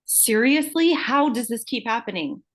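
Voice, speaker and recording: A woman's voice: on the high side, very animated, moderate pace. A woman playing a gossipy, insincere beauty queen of twenty-one at a script table read. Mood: exasperated. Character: gossipy twenty-one-year-old tattooed beauty queen, falsely sympathetic.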